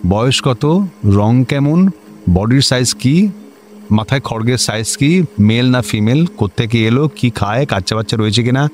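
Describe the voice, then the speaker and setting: An actor playing an officious, excitable district magistrate in a comedy radio play, with a clipped manner and English words mixed in. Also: male voice, medium pitch, a little slow, natural voice. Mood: scolding, demanding. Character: officious, excitable male district magistrate, bossy to juniors, servile to superiors. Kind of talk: Bengali comedy radio drama acting.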